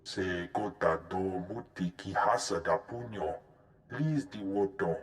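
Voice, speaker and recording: Greedy alien crime lord for a game cutscene. Low, unhurried, scheming, talking in an invented tongue. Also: male voice, echoey room. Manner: slow, deliberate delivery in an invented alien language. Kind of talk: video game cutscene acting.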